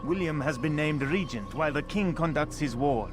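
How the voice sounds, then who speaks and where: A man's voice: a little high, lively intonation, moderate pace, lightly acted. A man voicing a wry informant of an assassin order in a video game, formal and explanatory. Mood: informing. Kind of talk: video game cutscene dialogue. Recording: music behind the voice.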